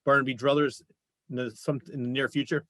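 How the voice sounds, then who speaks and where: A man's voice: medium pitch, little pitch movement, a little fast, a bit bright, dry recording. Man hosting, with a close dry sound. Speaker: show host. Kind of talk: casual panel interview.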